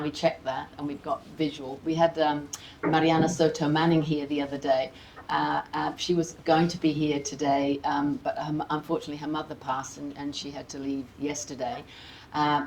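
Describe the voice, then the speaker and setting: A low-pitched woman gives informal opening remarks as a symposium host. Her delivery is conversational, with casual asides. The room adds clear echo and there is faint noise behind her. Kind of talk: informal welcome speech to an audience. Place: seminar room with audience. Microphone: worn microphone. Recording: roomy echo, audible background noise.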